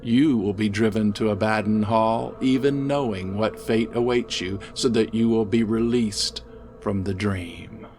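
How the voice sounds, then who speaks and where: A man voicing an insane, haunted asylum inmate in a gothic horror story, fervent and ominous, swinging from hushed to ranting. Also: on the low side, fairly even intonation, measured pace, soft background music.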